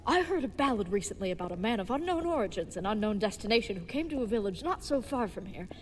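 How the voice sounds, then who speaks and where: Audio drama hero played by a woman: young, naive, gallant leatherworker, quick formal delivery, faint noise behind. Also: medium pitch, animated, close to an everyday voice. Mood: chatty, uneasy. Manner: formal, contraction-free, chivalrous, grandly earnest speech. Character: earnest, brave, naive young leatherworker playing knight, cheerfully oblivious. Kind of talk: scripted audio drama acting.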